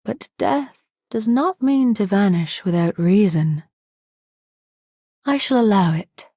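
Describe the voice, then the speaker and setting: A woman's voice: mid-range voice, lively intonation, slow pace, warm tone, slightly rough, no echo, studio quality. A woman voicing a grave, commanding, regal leader in a game. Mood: solemn, resolute. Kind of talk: game character voice acting.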